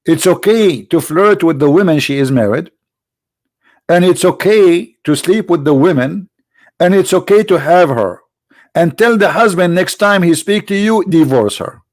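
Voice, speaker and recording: A man hosting a polemical livestream with a slight cold, talking in a mocking, rhetorical way that swings between loud emphasis and low asides. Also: animated, moderate pace, dry recording. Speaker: host of a religious debate channel.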